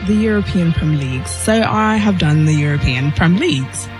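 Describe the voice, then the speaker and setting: A woman's voice: sits low, animated, a little fast, music in the background. A woman on a sports radio show putting on a playful fake British accent and giggling at herself. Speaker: show staffer.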